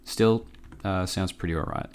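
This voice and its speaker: A man with a low voice walks through a software tutorial casually. He sounds a little under the weather and talks in an offhand, halting way, close to the mic. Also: a little hiss or hum. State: sick with a cough. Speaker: tutorial presenter. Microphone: close microphone.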